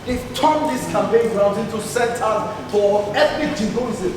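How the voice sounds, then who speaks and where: A man plays a concerned young student in live theatre. His high voice echoes heavily through the hall over audible crowd noise. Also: a little slow. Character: concerned young male student comrade reporting to friends. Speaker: stage actor. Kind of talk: stage play acting. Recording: echoey hall, distant mic, audience noise.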